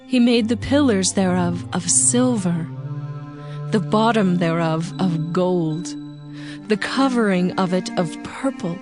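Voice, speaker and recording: A woman playing a devoted young bride in a dramatized scripture reading, speaking in a solemn, measured, poetic manner. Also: fairly low pitch. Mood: reverent, stately. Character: devoted young bride yearning for her beloved. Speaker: actor reading dramatized audio Bible. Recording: music bed behind the voice.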